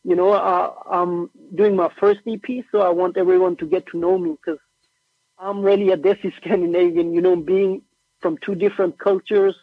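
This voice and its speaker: High gravelly man on a tinny line. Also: moderate pace, dry recording. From Norway. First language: Norwegian. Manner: relaxed, slangy, says "bro" and "like" often. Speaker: musician guest. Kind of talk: casual interview chat. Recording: tinny phone-like remote line.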